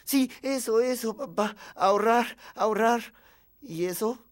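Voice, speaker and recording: A man with a Mexican accent playing a tender young boy who tells his own story with expressive, confiding emotion. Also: fairly high pitch, unhurried. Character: young boy tenderly recalling his beloved piggy bank. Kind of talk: dramatic first-person storytelling.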